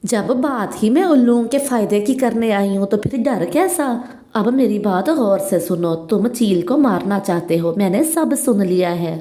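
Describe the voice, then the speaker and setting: A woman's voice: medium pitch, lively intonation, normal speed, warm tone, natural voice. A woman voicing a crafty, emphatic female crow in a children's cartoon, with roomy echo. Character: jealous, scheming female crow, later repentant. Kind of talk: Hindi children's cartoon dubbing.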